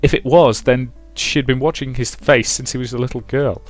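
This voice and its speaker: A man's voice: mid-range voice, steady tone, brisk. A man reading a visual novel aloud as a let's player, mixing dramatic narration with casual commentary. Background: game music.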